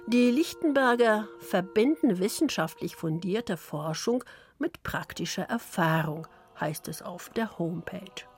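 A woman from Poland, Polish native, narrating with a Polish accent in a soft, slow, intimate read. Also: on the low side. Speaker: radio author and narrator. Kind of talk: scripted reflective narration.

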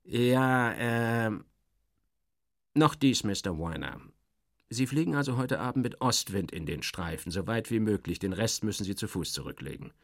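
A man voicing a curt, gruff army officer who hems and haws in an awkward, embarrassed way, in a literary radio play. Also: fairly low pitch, lively intonation, dry recording, studio quality. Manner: halting, hemming and hawing.

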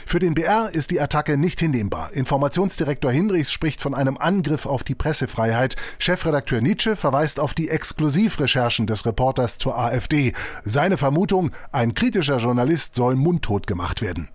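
A man's voice: medium pitch, lively intonation, fairly quick, no echo. A man, the head of a newsroom, reading a scripted news report in a firm, matter-of-fact way. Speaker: editor-in-chief of a newsroom.

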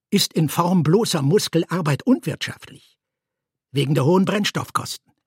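Husky guy reading a stiff report out loud, emphatic and clipped, kinda high voice, dry sound. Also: dry recording. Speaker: reader of a literary text. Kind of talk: dramatic literary reading of a bureaucratic report.

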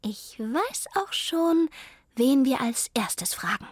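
A woman voicing a clever, eager female cat in a children's story, speaking briskly and decisively. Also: sits high, very animated, slightly rough, no echo, clean studio sound, exaggerated voice.

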